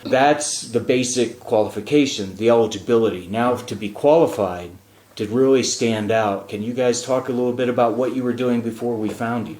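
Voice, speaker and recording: A man's voice: mid-range voice, normal speed, a little mellow, roomy sound, low-quality recording. A man hosting a casual talk show, explaining things in a chatty way with frequent fillers. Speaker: radio show host and diplomat.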